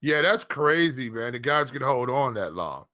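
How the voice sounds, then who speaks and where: A man's voice: mid-range voice, big pitch swings, moderate pace, pushed and bright, no echo, studio quality. A man co-hosting a casual fantasy football show, talking colloquially and repeating phrases for emphasis. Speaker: fantasy football podcast co-host and analyst. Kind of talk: casual sports talk.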